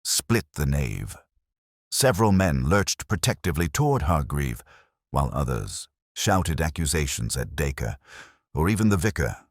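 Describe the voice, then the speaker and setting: Really deep male voice reading a mystery story, dramatic swings, kinda husky. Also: very animated, a little slow, no room sound, clean studio sound. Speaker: narrator. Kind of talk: scripted mystery story narration.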